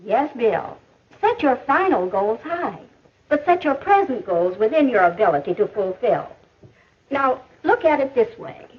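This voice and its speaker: An American actress playing a patient, instructive female mentor in a 1950s educational film, explaining in a measured, didactic way. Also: on the high side, sing-song, slightly rough, full character voice. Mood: encouraging, instructive. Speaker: teacher or counselor in an educational film. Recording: muffled old 1950s film soundtrack.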